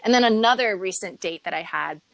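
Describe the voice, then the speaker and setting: A woman's voice: mid-range voice, brisk, gravelly, fairly bright tone, dry recording, rough recording. A woman in her forties telling dating stories in a casual chat, full of likes and ums. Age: 40s. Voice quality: chatty storyteller, many filler likes and ums. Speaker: guest.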